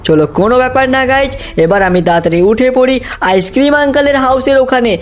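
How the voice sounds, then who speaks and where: A man's voice: extremely high pitch, quick pace. Man doing exclamatory gameplay commentary. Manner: addresses viewers constantly, exclamatory. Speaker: gaming video host. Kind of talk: excited gameplay storytelling commentary.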